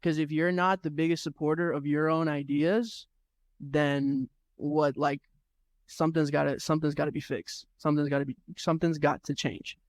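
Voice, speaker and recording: A man's voice: on the high side, fairly even intonation, normal speed, dry recording. A young man, a rapper guest, giving long, reflective opinions in casual chat. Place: studio room.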